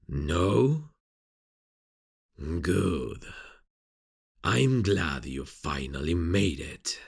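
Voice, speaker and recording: Guy doing a super deep raspy villain, taunting and gloating, theatrical drawn out asmr roleplay. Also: exaggerated voice. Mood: smug, mocking. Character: menacing, gloating criminal villain, back from near death.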